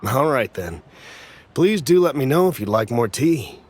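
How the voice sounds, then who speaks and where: A man playing a gentle, hospitable old man in a video game, polite, kindly and measured in his speech. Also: medium pitch, very animated. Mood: kindly, amused.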